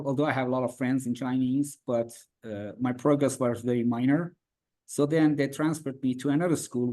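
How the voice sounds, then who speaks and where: A man from Iran whose first language is Farsi, answering questions as an interview guest over a video call. His English is halting and full of fillers and restarts. His voice sits at an ordinary middle pitch. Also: darkish tone.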